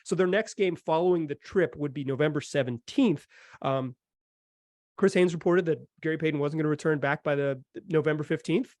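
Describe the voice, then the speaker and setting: A man's voice: a little high, a little fast, darkish tone, no room sound, studio quality. An American man hosting a basketball podcast in a conversational style. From North Carolina, United States. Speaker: sports podcast host.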